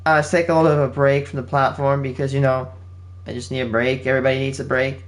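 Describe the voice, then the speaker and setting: An eighteen-year-old man talks casually to his viewers from home, in a voice of ordinary middle pitch. He rambles and restarts his sentences often, with plenty of fillers. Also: little pitch movement, quick pace. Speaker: channel host. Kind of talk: casual spoken update to viewers. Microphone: home setup with game console running nearby.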